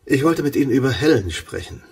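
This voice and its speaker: An actor playing a reserved, polite, dry gentleman in a German radio play. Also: medium pitch, animated, fast talker, husky, recorded from a distance.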